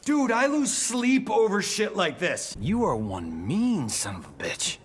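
An actor playing a cocky, wisecracking movie-star fighter in video game banter, brash and sarcastic. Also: male voice, high voice, sing-song, measured pace, slight background noise. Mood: smug joking.

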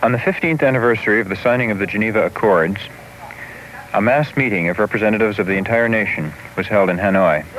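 A man's voice: fairly low pitch, fast. Man narrating over noisy old film soundtrack. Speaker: documentary narrator. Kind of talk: scripted documentary narration.

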